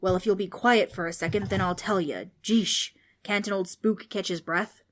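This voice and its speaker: A woman's voice: medium pitch, fairly even intonation, normal speed, lightly acted. Grumpy old ghost, as a woman puts him on. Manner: bossy, irritable, grandly spooky. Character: grumpy old male ghost, pompous and theatrical.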